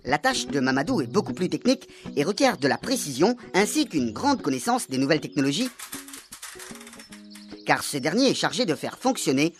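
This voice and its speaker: A woman's voice: low voice, very animated, fairly quick. A woman narrating a scripted radio serial in a storytelling style. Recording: music bed under the voice.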